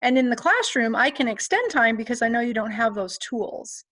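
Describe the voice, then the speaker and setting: A woman's voice: a little high, expressive, moderate pace, a bit bright, dry recording. A woman speaking as an instructor, giving plain-spoken, emphatic advice in a conversational way on an online call. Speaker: college instructor.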